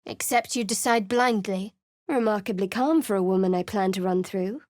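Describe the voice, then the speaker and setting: A woman's voice: medium pitch, moderate pace, darkish tone, no room sound, close to an everyday voice. An actress voicing a guarded, sardonic young female cleric in a video game, recorded close in a studio booth. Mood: dry. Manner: dry, cool and sardonic. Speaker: game character voice actor. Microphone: close studio microphone.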